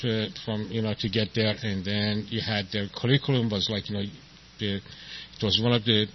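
A man in his sixties from Iran with an Iranian accent, giving a halting, self-deprecating personal testimony as the main speaker at a recovery meeting, full of fillers and restarts. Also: on the low side, breathy edge. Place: meeting hall with an audience. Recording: dull lo-fi recording with faint noise.

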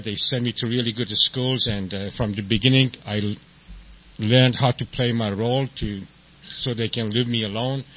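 An older man with an Iranian accent tells his story at a recovery meeting, often pausing, restarting and laughing at himself. The recording is dull and lo-fi, with faint hiss underneath. His pitch sits in an ordinary middle range. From Iran. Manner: halting, self-deprecating, many fillers and restarts. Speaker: main speaker at a recovery meeting. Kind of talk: personal testimony at a meeting. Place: meeting hall with an audience.

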